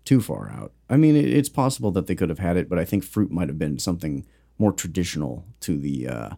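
A man's voice: low voice, big pitch swings, a little fast, vocal fry, dark tone. A man co-hosting a casual chat, rambling and joking with plenty of fillers and restarts. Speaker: podcast co-host.

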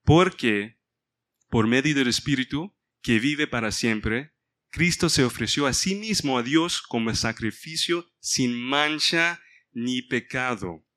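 Bright-voiced man leading a Bible study. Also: mid-range voice, expressive, slow. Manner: mixes in English words, stops to ask the group for help. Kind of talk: informal Bible study sermon.

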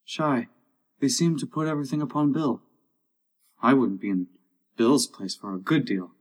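A man's voice: mid-range voice, normal speed, husky, natural voice. A man voicing a curious young girl who muses and questions herself in a storybook. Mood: puzzled. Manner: wondering, self-questioning.

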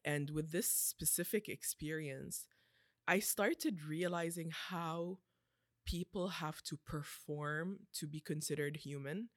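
A woman's voice: low-pitched, dry recording. A woman in her twenties hosts a podcast and shares a personal reflection. She talks earnestly, and her intensity builds as she goes. The recording is dry and close. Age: 20s. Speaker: podcast host.

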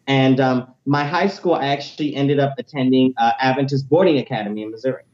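A man in his late twenties with a high tenor voice, telling his personal story as an interview guest in an animated, energetic way. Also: a little fast, highs cut off. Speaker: interview guest, teacher and music minister.

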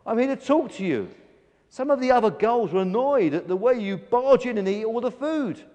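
A man voicing a reasonable, earnest gull in a children's story, gently scolding a friend. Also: extremely high pitch, expressive, normal speed, long reverb tail, voice pushed up. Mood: earnest persuasion.

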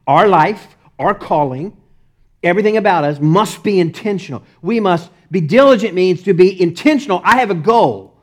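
A man with a Texas accent preaching a sermon as the pastor, emphatic and repetitive, often breaking into short laughs and swinging between loud and soft. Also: high voice, lively intonation, moderate pace. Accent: Texan.

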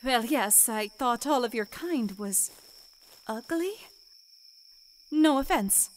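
A woman's voice: a little high, expressive, takes it slowly, a little hiss or hum. A woman playing a bold young barmaid in a fantasy audio drama, earnest, questioning and stubborn. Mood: curious, hesitant. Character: bold, determined young barmaid setting out adventuring.

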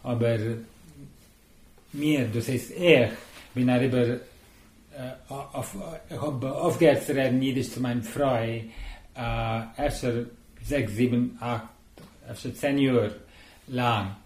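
Mann mit Tamil als Muttersprache, stockend. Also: male voice, mid-range voice, steady tone, darkish tone, echoey room. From India. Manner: halting, searching for words. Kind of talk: casual group discussion.

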